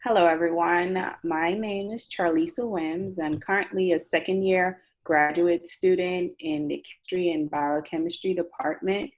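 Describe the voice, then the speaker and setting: Woman, late twenties, low pitch, casual, candid, repeats herself for emphasis, dull video-call sound. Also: steady tone, moderate pace, hardly any pauses. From Nassau, Bahamas. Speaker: interview guest, chemistry graduate student. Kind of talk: casual chat.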